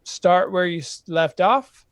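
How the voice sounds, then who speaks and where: Hesitant co-host man, higher voice. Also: slow pace, dry recording, studio quality. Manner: hesitant, filler-heavy, joking. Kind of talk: live-stream Q&A chat.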